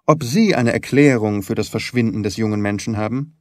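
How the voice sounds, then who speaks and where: A man voicing a shrewd, composed private detective in an audiobook, with a measured, polite and slyly mischievous manner. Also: fairly low pitch, no echo, studio quality, lightly acted. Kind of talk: dramatised audiobook reading.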